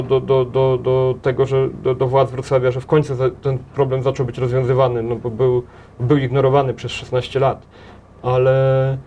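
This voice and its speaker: A man in his thirties answering questions in a studio interview, talking in halting runs with many restarts and repeated words, recorded on a table mic in a small TV studio. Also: medium pitch, darkish tone. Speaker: interview guest, head of a transport association.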